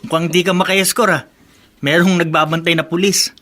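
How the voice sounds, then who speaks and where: A man's voice: on the high side, fairly even intonation, fairly quick, sharp tone. A man playing a teasing, wisecracking young buddy in a Filipino radio drama, slangy and needling.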